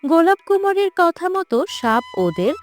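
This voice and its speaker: A woman's voice: very high-pitched, very animated, normal speed, soft background music. A woman narrator reading a scripted fairy tale for a cartoon.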